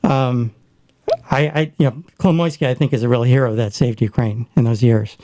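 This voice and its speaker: A man chatting in a casual discussion, his speech halting and full of restarts. His voice is dark and mellow, with a middle pitch. The recording is dry and close. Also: steady tone, no echo. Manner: halting, many fillers and restarts. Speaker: guest discussing Ukraine.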